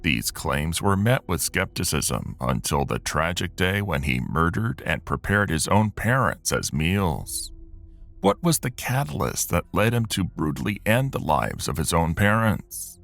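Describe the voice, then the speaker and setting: Low, gravelly male narrator for a true crime story; formal and measured, animated pitch, soft music underneath. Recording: music bed behind narration.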